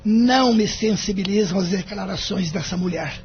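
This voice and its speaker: An actor playing a bitter, wounded husband in an audio drama, with a melodramatic, aggrieved delivery. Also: male voice, high-pitched, normal speed, natural voice. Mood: bitter hurt. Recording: dull lo-fi audio with background noise.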